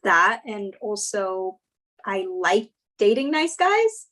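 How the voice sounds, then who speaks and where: A woman playing a frank twenty-seven-year-old girlfriend in a screenplay table read, speaking in a dry, deadpan way. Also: mid-range voice, slow, heavily acted. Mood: wry, careful.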